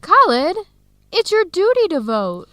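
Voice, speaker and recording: A friendly, earnest young woman researcher in a radio drama. Her voice is high and very expressive, with a chatty, inquisitive manner. The recording is close and dry. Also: measured pace, no echo, heavily acted. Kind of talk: educational radio drama acting.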